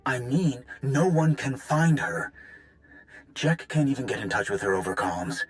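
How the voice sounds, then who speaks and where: An actor playing an anxious, hurried comrade calling in with news in a video game, urgent and informal. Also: male voice, mid-range voice, expressive, soft background music, natural voice. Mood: worried. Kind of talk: video game cutscene acting.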